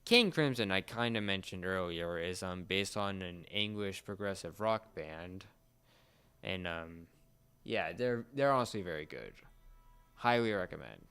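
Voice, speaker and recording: A man chatting casually as a fan, often halting and searching for his words. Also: low-pitched, lively intonation, a bit bright, clear, resonant tone.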